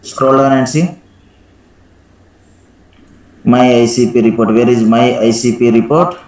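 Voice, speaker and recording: Male software trainer in an echoey room. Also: medium pitch, unhurried. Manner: instructive, frequently checks with "right?". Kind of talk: live screen-share software tutorial with questions to students.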